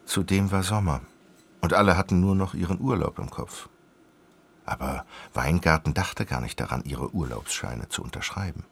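An actor narrating a radio play as a wry storyteller with a gravelly, raspy voice. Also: male voice, deep voice, normal speed, a bit bright, heavily acted. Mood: wry, expository. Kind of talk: scripted radio drama narration.

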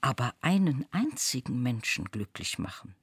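Slow husky reader doing a literary text, dead dry close mic, drops almost to a whisper sometimes. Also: mid-range voice, big pitch swings, no room sound.

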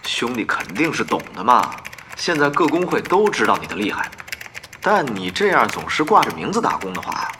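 A man's voice: mid-range voice, very animated, normal speed, noisy background, close to an everyday voice. An actor playing an ingratiating, persuasive male guild leader in an online game, speaking in an audio drama.

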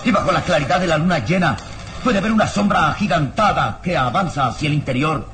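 A man's voice: fairly high pitch, expressive, fairly quick. A man narrating an old radio serial in a solemn, suspenseful, theatrical style. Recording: old radio recording, music behind.

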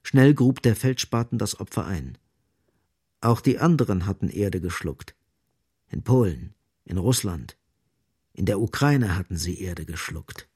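A man narrating a literary radio drama gravely, in short, emphatic phrases. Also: low voice, animated, measured pace, pauses often, darkish tone.

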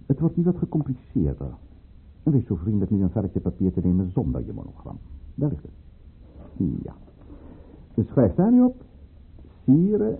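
A man's voice: mid-range voice, husky, full character voice. A man acting in a Dutch radio drama as a scheming governor at the tsarist court, speaking in a formal, coldly commanding way. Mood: menacing, commanding. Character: scheming, menacing male governor and aristocratic lover at tsarist court. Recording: muffled, tinny old radio play recording.

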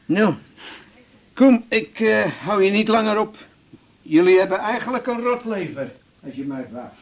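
A man's voice: high-pitched, lively intonation, a little slow, exaggerated voice. An actor playing a chatty, insistent male patient in a radio drama, colloquial and a little awkward, moving between bluff and muttering. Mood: bluff, resigned. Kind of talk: naturalistic radio drama acting.

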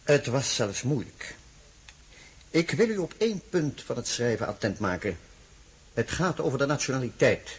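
A proud, stern military count in a radio drama. The voice is extremely rough and husky, stiffly formal, with wide swings in pitch. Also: male voice, lively intonation, normal speed, pauses often. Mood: stiff, grave. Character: stern, proud old-guard Swedish count and field marshal. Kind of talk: radio play acting.

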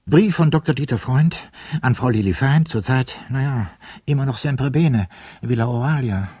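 A man's voice: medium pitch, normal speed, dark tone, husky, no echo, close to an everyday voice. A man narrating a radio play, announcing each letter in a dry, formal manner.

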